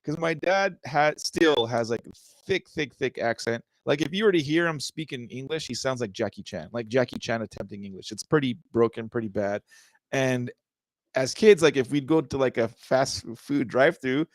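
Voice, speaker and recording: A man from Southern California chats loosely on a podcast, cracking jokes and restarting sentences as he goes. His voice sits at an ordinary middle pitch. The recording is dry and close, with no room sound. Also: dry recording. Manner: loose and joking, many fillers and restarts. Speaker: podcast co-host. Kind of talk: casual chat.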